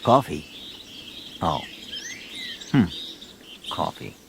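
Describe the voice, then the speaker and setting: A bumbling comic detective from a radio play. His pitch jumps around a great deal as he stumbles over foreign words and repeats them back. He is persistent and endlessly muddled. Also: lively intonation, very slow, creaky, noisy background, full character voice. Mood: bemused. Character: bumbling, persistent American detective struggling with Portuguese. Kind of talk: comic radio drama acting.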